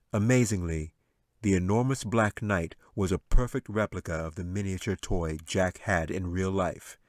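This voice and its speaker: A man's voice: low-pitched, no room sound, clean studio sound. A man reading scripted fantasy fiction as the author and narrator of an audiobook.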